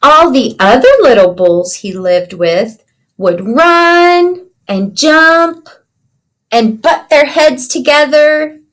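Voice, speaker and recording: A woman with a fairly high, lively voice reads a picture book to young children. She takes her time and explains things simply, with plenty of rise and fall as she checks in with her listeners. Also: slow. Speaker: teacher reading aloud to children. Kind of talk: children's storybook read-aloud with explanations.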